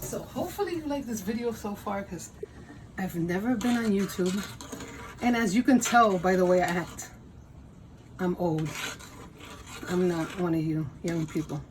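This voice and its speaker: A woman's voice: medium pitch, animated, normal speed, pauses often, noisy background. Chatty Puerto Rican woman in her fifties. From Puerto Rico. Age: 50s. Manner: chatty, self-deprecating, laughs often. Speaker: cooking channel host. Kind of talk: casual cooking vlog. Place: home kitchen.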